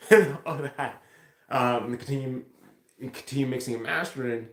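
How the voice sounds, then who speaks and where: A man's voice: medium pitch, normal speed. A man chatting casually on a livestream, rambling and swearing heavily as he replies to comments. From Ontario, Canada. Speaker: live streamer. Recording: echoey room sound.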